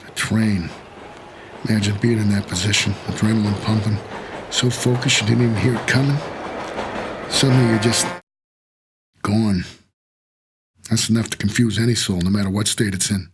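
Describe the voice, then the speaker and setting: An American actor playing a weary, guarded supernatural driver who narrates an audio drama in terse, measured noir style. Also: male voice, lowish voice, steady tone, background noise, natural voice. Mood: brooding, measured.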